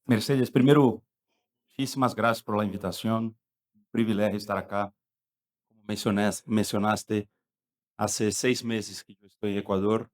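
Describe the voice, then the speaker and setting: A middle-aged man speaks with a Brazilian accent, shaped by his native Portuguese. His voice sits on the low side. He delivers panel remarks in the role of a company executive. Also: fairly even intonation, moderate pace, pauses often.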